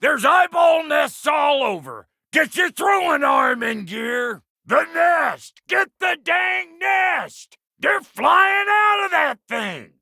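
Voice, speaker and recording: A man voicing a gruff, cranky, foul-mouthed but fond dwarf blacksmith in a video game, with a raspy, folksy drawl. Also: extremely high pitch, big pitch swings, takes it slowly, few pauses, sharp tone, no echo, shouting, clean studio sound, exaggerated voice. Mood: frantic, irate. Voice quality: gravelly, raspy. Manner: folksy, crude, blustering drawl. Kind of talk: video game character dialogue.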